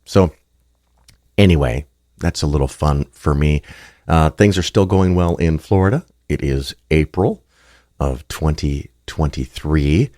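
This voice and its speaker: A man hosting his own podcast, talking casually and bluntly, his voice raspy and gravelly from a cold. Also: extremely low pitch, dry recording, studio quality. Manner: blunt, plainspoken, chatty with fillers. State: getting over a cold. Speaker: podcast host. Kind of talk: casual solo monologue.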